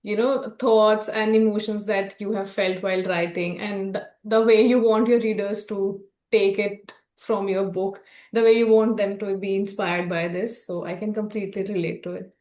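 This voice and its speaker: A woman's voice: mid-range voice, fairly even intonation, moderate pace, few pauses, a little mellow, roomy sound. A young woman interviewing an author, polite and brief in her replies. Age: young adult. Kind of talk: interview questions.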